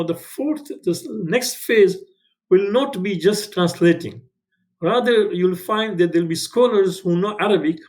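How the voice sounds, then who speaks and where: A man's voice: high-pitched, darkish tone. A man from Tanzania, whose first language is not English, teaching an online class in a conversational way, often checking in with "right?" and correcting himself as he goes. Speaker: instructor. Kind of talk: online lecture. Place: home in Canada.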